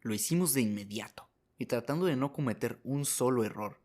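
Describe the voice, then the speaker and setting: Guy narrating a radio drama, scripted storytelling, normal mid pitch voice. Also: expressive, a little fast, a little mellow.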